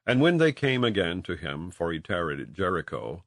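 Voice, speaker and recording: A man narrating scripture in a solemn, measured and declamatory way, like a scripted audiobook reading. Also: low voice, very animated, a bit bright, dry recording, studio quality. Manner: measured, declamatory, with archaic phrasing.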